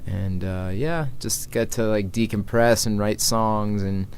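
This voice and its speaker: Low-voiced young American man, meandering and informal. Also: unhurried. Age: early 30s. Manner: informal, meandering, thinking aloud. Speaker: interview guest, traveling musician and activist. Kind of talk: casual conversational interview. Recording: low background noise, room ambience.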